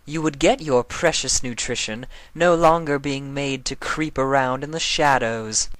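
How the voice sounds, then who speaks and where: A man's voice: medium pitch, unhurried, a bit bright, dry recording, pitched above the performer's own voice, natural voice. A man voicing a regal, villainous female winged unicorn with a condescending, theatrically mocking manner. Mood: mocking, lecturing. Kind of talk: fan fiction character voice.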